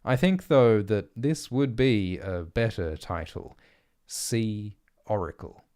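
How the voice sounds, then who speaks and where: Deep-voiced man reading aloud. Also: takes it slowly, a little mellow. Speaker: audiobook reader. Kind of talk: scripted reading of essays and letters.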